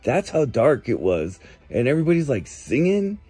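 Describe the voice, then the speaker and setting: A man's voice: mid-range voice, wildly swooping pitch, dark tone, breathy edge, no room sound, faint music behind. A man rambling casually in a slacker manner, drawing out his words as if leaving a comic phone message. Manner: laid-back slacker, drawn-out words, frequent "like", chuckling. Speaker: comic voicemail caller.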